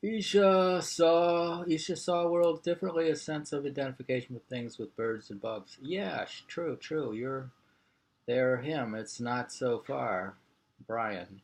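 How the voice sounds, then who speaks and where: A man's voice: mid-range voice, a little slow. A man reading an interview aloud in an informal way. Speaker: reader of a printed interview.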